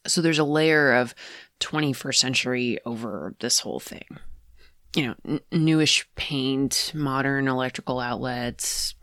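An actress playing a rattled, analytical woman investigator who haltingly recounts eerie sightings in a confessional horror audio drama. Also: rumbling low, a bit bright, dry recording, clean studio sound. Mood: reflective, uneasy. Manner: halting, hesitant, self-correcting.

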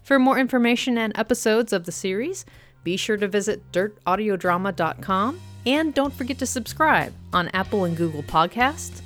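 A woman's voice: medium pitch, lively intonation, moderate pace, a bit bright, faint music behind. A woman hosting a podcast, reading a scripted outro announcement. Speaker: podcast host.

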